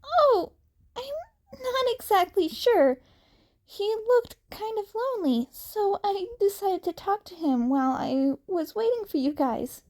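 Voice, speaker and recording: A woman's voice: very high voice, lively intonation, measured pace, a little mellow, voice pushed up. A woman voicing a nervous, kind-hearted teenage boy hero, hesitant and earnest, in an amateur audio story. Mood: sheepish, earnest.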